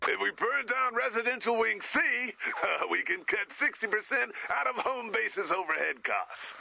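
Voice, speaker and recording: Raspy, forceful werewolf businessman. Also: high voice, animated, measured pace, no room sound, exaggerated voice. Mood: smug, predatory. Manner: pompous corporate jargon delivered with menace. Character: director turning into a corporate-minded werewolf businessman. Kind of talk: video game voice acting.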